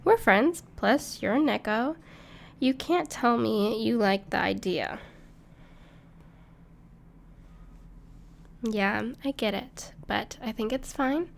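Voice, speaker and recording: Soft-hearted female dragon companion played by a woman, higher-pitched with noticeable fry, tender and playful, for intimate roleplay audio. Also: measured pace, a little hiss or hum. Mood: cozy, reassuring. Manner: tender, reassuring, playful, slightly hesitant. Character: gentle, cuddly, bashful female dragon in human form, plushie lover.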